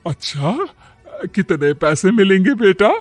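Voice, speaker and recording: Cartoon mother, to be played by a man: high voice, taunting and sarcastic, forever scolding her grown daughter. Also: expressive, unhurried, no room sound, faint music behind. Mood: eager. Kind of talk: animated moral story dialogue.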